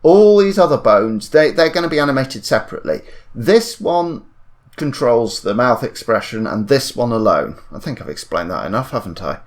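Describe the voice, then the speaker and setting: A man narrating an unscripted software tutorial, thinking aloud and correcting himself as he goes. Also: medium pitch, expressive, moderate pace. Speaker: tutorial presenter.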